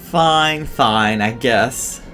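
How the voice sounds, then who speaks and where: A man's voice: mid-range voice, extremely slow. A man streams a game with casual, reactive commentary in a mid-range voice. He rambles and reads aloud at times, with faint music underneath. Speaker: gaming streamer.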